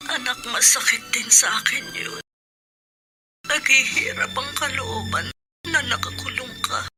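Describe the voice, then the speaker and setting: An actress with a Filipino accent playing a loving, sorrowful middle-aged mother in a radio drama, pleading tearfully with her son. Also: high voice. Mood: anguished, pleading. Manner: slow, tearful, breathy pleading. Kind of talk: Tagalog radio drama acting. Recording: music under the voice. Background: background music.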